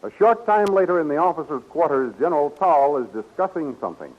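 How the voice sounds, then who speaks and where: A man's voice: fairly high pitch, lively intonation, brisk. A man narrating an adventure serial as a storytelling announcer in 1940s radio style. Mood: measured, dramatic. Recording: muffled old radio recording.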